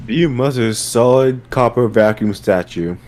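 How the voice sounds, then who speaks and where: A man's voice: mid-range voice, unhurried, a little mellow. A man reading out short command prompts in a group dramatic reading.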